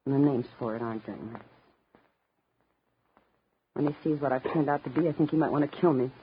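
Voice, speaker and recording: A woman's voice: very deep voice, rapid-fire, a little hiss or hum. An actress playing a bitter, wounded young showgirl in 1950s radio drama, with tough, slangy, melodramatic delivery. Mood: bleak. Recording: muffled old 1950s radio recording.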